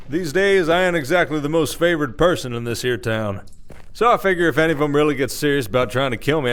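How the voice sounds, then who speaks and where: A man's voice: a little high, fairly bright tone. Man playing a wry drawled werewolf sheriff. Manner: folksy, dry-humored, rambling explanations. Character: weary, wry, protective male werewolf sheriff of an Old West town. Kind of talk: ASMR audio roleplay acting.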